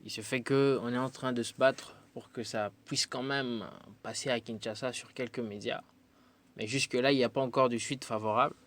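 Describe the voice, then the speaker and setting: A man's voice: mid-range voice, steady tone, normal speed, fairly bright tone. A man, a musician guest on a radio interview who answers thoughtfully and hesitates often. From Democratic Republic of the Congo. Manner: thoughtful, hesitant with frequent fillers.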